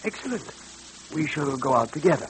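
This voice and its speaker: An actor playing a mysterious caped man in an old radio drama, theatrical, formally courteous and quietly menacing. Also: on the high side, sing-song, fairly quick. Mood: silky threat. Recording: noisy old radio recording, hum.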